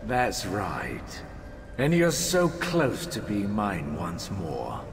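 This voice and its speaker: An actor playing a menacing, possessive sorcerer villain in a video game, gloating and theatrical. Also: male voice, on the low side, wildly swooping pitch, very slow, creaky, a little hiss or hum, exaggerated voice. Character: menacing, possessive male sorcerer slave master. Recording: long reverb, music behind.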